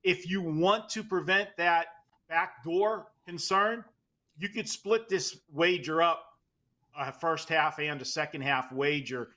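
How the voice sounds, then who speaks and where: Bright-voiced Pittsburgh handicapper man. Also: fairly high pitch, slow. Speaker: sports handicapper guest. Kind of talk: casual betting analysis.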